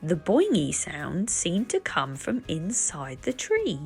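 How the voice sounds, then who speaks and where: A woman reading a children's book aloud as an expressive, deliberate storyteller. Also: on the low side, soft background music. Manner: animated, deliberate.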